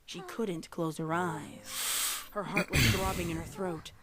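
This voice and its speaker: A woman's voice: fairly low pitch, slow, very noisy. A woman reading scripted dramatic fiction as a story narrator. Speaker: audiobook-style story narrator. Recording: music playing under the narration. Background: music.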